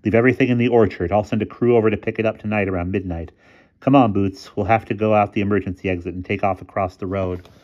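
A man voicing a bold, cheerful schoolboy ringleader with a brisk, commanding manner in a storybook reading. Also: fairly low pitch, fairly even intonation, dull audio, close to an everyday voice. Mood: urgent.

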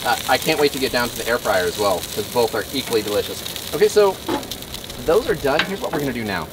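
A man in his thirties chats and jokes as he cooks wings at an outdoor griddle station. His pitch moves a lot, and a loud frying sizzle runs under his voice the whole time. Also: sing-song, fast, noisy background. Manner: upbeat, joking, quoting movie lines. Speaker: cooking show host. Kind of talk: casual cooking demo with banter. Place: outdoor griddle kitchen with wood walls.

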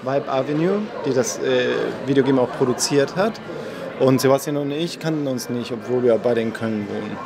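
Argentine-born man, calm and measured. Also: medium pitch, vocal fry, a crowd in the background. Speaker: conductor, festival guest. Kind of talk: interview answers. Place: indoor room at a festival. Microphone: handheld mic held by interviewer.

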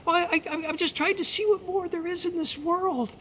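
A woman's voice: very high voice, fairly even intonation, moderate pace, sharp tone, a little hiss or hum, pitched above the performer's own voice. A woman voicing a small, curious, earnest young female mouse in a told folk tale. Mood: wondering.

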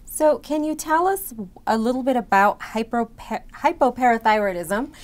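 A woman's voice: a little high, expressive, moderate pace. A middle-aged woman interviewing a guest with semi-scripted questions. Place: indoor event room with banner backdrop.

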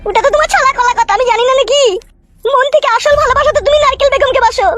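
A woman's voice: very high voice, very fast, over loud music. A woman voicing a jealous, heartbroken cartoon wife who nags and accuses sulkily. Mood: hurt, accusing. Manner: sulky, nagging, accusing. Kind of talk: Bengali comedy cartoon dubbing.

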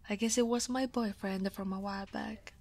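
A woman voicing a weary, easily flustered dragon office senior in an ASMR roleplay, speaking softly and breathily with a hesitant manner, often half-whispering. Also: mid-range voice, gravelly, husky, full character voice. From Italy.

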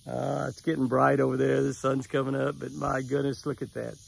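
A man's voice: medium pitch, level tone, a little fast, creaky. An older man, telling folksy stories outdoors and repeating key phrases as he gives a devotional and a fishing tip. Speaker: devotional host and angler. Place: lakeside lawn outdoors at sunrise.

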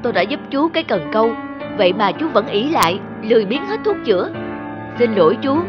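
Woman acting as responsible village elder brother, stern, admonishing, fairly high voice, animated folk tale, music underneath. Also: brisk, muffled recording. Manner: admonishing, firm. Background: background music.

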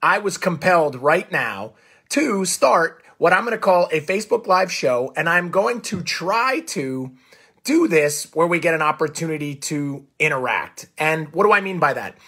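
A man in his forties with a raspy, husky voice, hoarse from a full day of coaching. He talks into a close phone mic in a small room, fired up and animated. His voice sits a touch high for a man. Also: normal speed. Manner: fired-up, animated, gestures a lot. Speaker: coach and show host. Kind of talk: energetic Facebook Live monologue. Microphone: phone close to the face.

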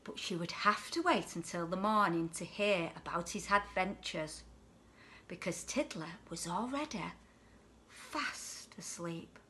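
A woman in her forties reads a picture book to children in an animated, deliberate way, leaning on the rhyming words. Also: fairly low pitch, a little hiss or hum. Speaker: deputy headteacher reading a children's story. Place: indoors at home on a sofa.

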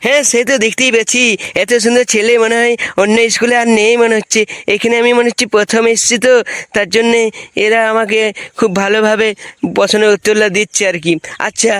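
A man's voice: sits high, fairly bright tone, clean tone, dry recording, studio quality. A man voicing an easily amazed, effusive headmaster in a comedy cartoon, exclaiming and repeating himself in a rural West Bengal accent. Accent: rural West Bengal (Bankura). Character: gushing, easily amazed visiting male headmaster. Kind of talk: comic cartoon voice acting.

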